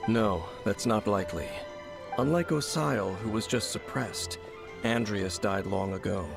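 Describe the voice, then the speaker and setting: An actor playing a stern, knowing, world-weary swordsman in a game, measured and serious with a gravelly voice. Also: lowish voice. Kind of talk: anime-style game voice acting. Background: game music.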